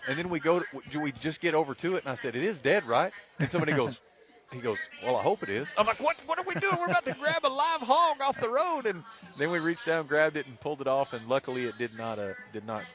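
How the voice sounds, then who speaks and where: A man's voice: on the high side, fast. A male radio sports broadcaster, telling stories casually on air and animatedly acting out what people said. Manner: animated storyteller, acts out quotes. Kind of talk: casual on-air storytelling and banter. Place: live high school football radio broadcast.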